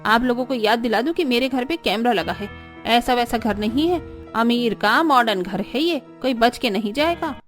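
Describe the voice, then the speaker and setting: A high-pitched, haughty mother-in-law from a village cartoon story, acted by a woman. She is quarrelsome and scolds, boasts and accuses, with music playing behind her. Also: moderate pace, close to an everyday voice. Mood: angry, accusing. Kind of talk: Hindi cartoon story voice acting.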